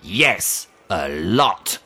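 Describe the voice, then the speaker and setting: A man's voice: deep voice, sing-song, very slow, sharp tone, breathy edge, pitched above the performer's own voice, heavily acted. A man voicing an easygoing, earnest young cement mixer truck, a restless brother in a children's story. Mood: wistful, keen.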